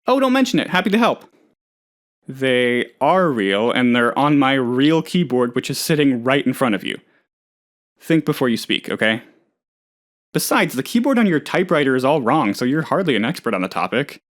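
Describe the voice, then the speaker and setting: A man's voice: medium pitch, sing-song, moderate pace, fairly bright tone. A man playing an eccentric, eager, scatterbrained office worker in a comedic video game, rambling earnestly about absurd things. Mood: cheerful, eager, then mildly indignant. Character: eccentric, eager, scatterbrained male office colleague, cheerfully odd.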